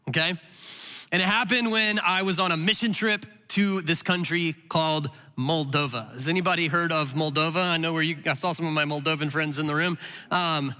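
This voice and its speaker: A middle-aged man preaches in a loose, conversational way and keeps circling back to restart his sentences. His voice sits a bit high and sounds bright and forceful, and he talks quickly. Long reverb from a large hall trails behind every phrase. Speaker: pastor. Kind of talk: sermon storytelling.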